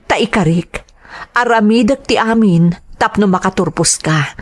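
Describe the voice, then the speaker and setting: A woman plays a devoted mother in an audio drama. Her voice sits at a middle pitch, and she speaks earnestly, as one who has sacrificed much for family. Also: moderate pace, close to an everyday voice. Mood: loving, earnest. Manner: earnest, heartfelt. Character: devoted, self-sacrificing mother recalling hardship abroad. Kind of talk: dramatized first-person narration.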